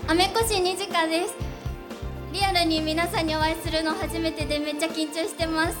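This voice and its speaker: A woman's voice: very high-pitched, level tone, a little fast. An actress playing a nervous, earnest young female office newcomer in live radio drama banter. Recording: live event with music behind.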